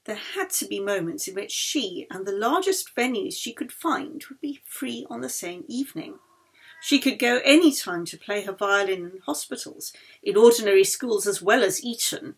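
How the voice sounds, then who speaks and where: A woman with a fairly high, slightly husky voice reads literary fiction aloud in an expressive audiobook style. Her phrasing is deliberate and dramatic, shaping each clause with care. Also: rough recording. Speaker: author reading their own novel. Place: recorded at home.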